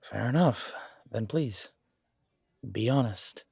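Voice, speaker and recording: A man playing a wary, sardonic werewolf mechanic in an audio drama, with a husky voice and a dry, measured manner. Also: mid-range voice, lively intonation, a little mellow, no room sound, heavily acted. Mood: dry, candid. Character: wary, sardonic male werewolf mechanic, blunt but polite. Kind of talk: audio roleplay drama dialogue.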